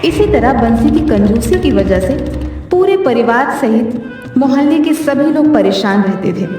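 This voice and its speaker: A woman's voice: fairly high pitch, expressive, measured pace, warm tone. A woman giving scripted narration of a storybook tale for a cartoon. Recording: very echoey hall reverb, distant, music behind.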